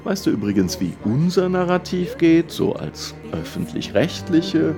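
A man's voice: fairly high pitch, sing-song, measured pace, creaky, over music, full character voice. A man playing a sceptical, grumbling radio journalist with a German accent and a dry, dismissive manner in a conversational radio drama. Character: sceptical, grumbling male radio journalist, exasperated by his colleague. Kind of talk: conversational radio feature acting.

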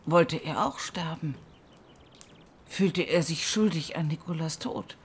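A woman's voice: deep voice, slow, a little hiss or hum. An actress playing a stern, secretive older foster mother in a radio drama, grave and admonishing. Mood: probing.

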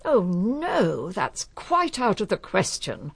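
An older genteel country lady in a radio play, low voice, very lively, defensive. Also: takes it slowly, creaky, slightly rough, no room sound, full character voice. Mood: composed, genteel. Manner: genteel, emphatic, guarded. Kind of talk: radio drama acting.

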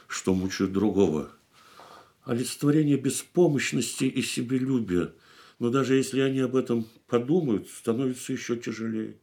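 Actor as wounded, brooding abandoned lover. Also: male voice, mid-range voice, natural voice. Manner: brooding, reproachful, dramatic. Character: wounded, bitter man pleading with departing lover. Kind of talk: Russian radio play acting.

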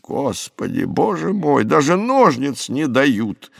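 A man voicing a jovial, fussy middle-aged father in a storybook, with a drawn-out singsong delivery and an easily exasperated temper. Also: mid-range voice, very animated, vocal fry, slightly rough, no echo, studio quality, voice pushed up, heavily acted. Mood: whiny, aggrieved.

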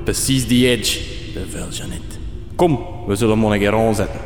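A man's voice: on the low side, fairly even intonation, moderate pace, over music, close to an everyday voice. A man with a Flemish accent playing a laid-back, folksy sidekick in a radio drama, speaking colloquial dialect. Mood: folksy, easygoing. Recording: cavernous echo, faint ambient noise.

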